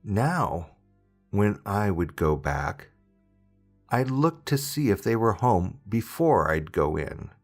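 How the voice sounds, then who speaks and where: Guy narrating a creepy story, slow and dramatic, voice moves up and down a lot, faint music behind. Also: lowish voice, big pitch swings. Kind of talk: scripted narration of a reader-submitted scary story. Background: background music.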